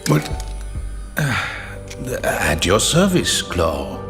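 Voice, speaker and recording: An actor playing a wary, polite mercenary fighter in a cartoon. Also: male voice, deep voice, huge pitch swings, very slow, a bit bright, long reverb tail, faint music behind, heavily acted.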